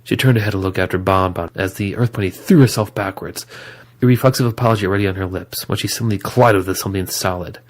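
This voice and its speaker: Low-voiced male story narrator. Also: fast.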